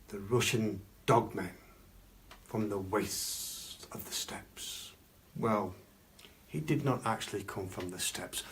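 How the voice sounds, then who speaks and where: Man acting as grand Victorian cabinet-of-curiosities keeper, macabre storyteller, unhurried delivery, pompous, patriotic, heavy dramatic stresses. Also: mid-range voice, slight background noise, natural voice. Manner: grandiloquent, archaic phrasing, dramatic pauses and stresses. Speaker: costumed storyteller. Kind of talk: theatrical live storytelling.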